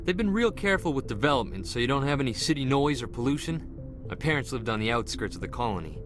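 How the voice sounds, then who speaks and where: A man acting an eager, restless young marine corporal in a video game, excitable and earnest. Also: medium pitch, quick pace, close to an everyday voice. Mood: wistful. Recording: loud music behind the voice. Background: music.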